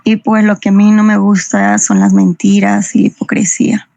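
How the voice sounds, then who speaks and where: A woman student with a hoarse voice from the flu, answering her teacher in an online class. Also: mid-range voice, completely flat delivery, normal speed, mellow, no room sound. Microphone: video call. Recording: muffled video call audio.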